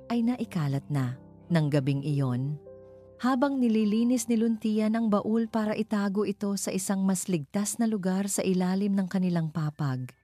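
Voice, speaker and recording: A woman with a Filipino accent reading a scripted story in a measured, dramatic storytelling manner. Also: lowish voice, dark tone. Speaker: narrator.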